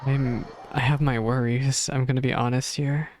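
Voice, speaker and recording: A streamer reacts casually to a game story. The delivery is empathetic and halting, with faint game music behind the voice. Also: mid-range voice, little pitch movement, a little mellow. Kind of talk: casual live reaction commentary.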